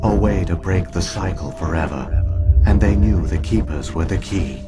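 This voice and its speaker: A very deep male voice for an ancient alien guardian program in a video game. He speaks formally and without emotion, measured and grave. Music plays clearly underneath. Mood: solemn, measured. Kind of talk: scripted narration, video game acting.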